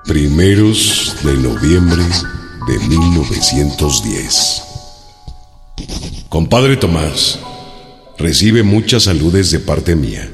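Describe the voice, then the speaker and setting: Very deep, gravelly Mexican revolutionary leader. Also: male voice, very animated, slow pace, pauses often, long reverb tail, heavily acted. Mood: grave, measured. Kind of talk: radio drama acting. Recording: music behind the voice.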